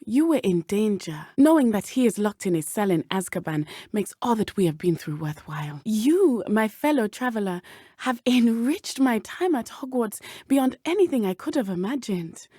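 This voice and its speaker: A woman's voice: mid-range voice, sing-song, normal speed, warm tone, husky, dry recording, clean studio sound. An actress playing an earnest, brave and loyal teenage witch in a video game, speaking in formal, careful phrasing. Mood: sincere, earnest. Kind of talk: video game character dialogue.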